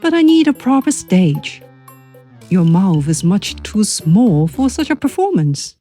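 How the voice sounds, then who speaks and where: A woman voicing a small, clever trickster man who talks in a playful, coaxing way in a storybook read-aloud, with soft music underneath. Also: mid-range voice, very animated, a little slow. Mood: sly.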